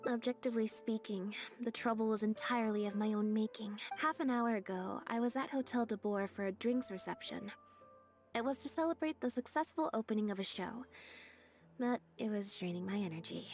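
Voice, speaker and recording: An actress voicing a deadpan, understated young female magician's assistant with robot-like habits in a video game. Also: fairly high pitch, fairly quick, lightly acted. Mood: deadpan, explaining. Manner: deadpan, understated, matter-of-fact. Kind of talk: video game character dialogue. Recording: game audio with music behind. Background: background music.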